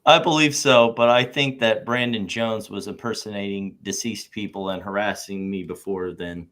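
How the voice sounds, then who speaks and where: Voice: sits low, steady tone, moderate pace, fairly bright tone. A reader acts out a male businessman testifying in a deposition. He is evasive and noncommittal, hedging his answers as the transcript is read aloud. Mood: evasive, stubborn.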